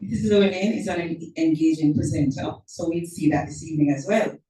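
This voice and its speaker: Low, mellow woman introducing a speaker. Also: brisk. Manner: reads a prepared biography, with small slips and self-corrections. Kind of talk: formal introduction speech. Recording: echoey room from far off.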